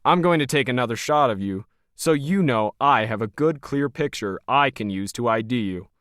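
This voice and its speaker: A man's voice: medium pitch, expressive, normal speed, fairly bright tone, no echo, studio quality, lightly acted. A man voicing a cold, arrogant rich villain in an audio story, pompous, sly and goading. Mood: smugly menacing. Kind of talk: audio fiction character dialogue.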